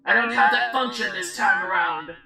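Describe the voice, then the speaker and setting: A woman playing a haughty, blunt alien goddess in a visual novel game, speaking in formal, oddly literal phrases with a creaky voice. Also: on the high side, normal speed, recorded from a distance, over music. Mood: blunt, detached. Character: alien eldritch goddess diner, female, imperious and blunt.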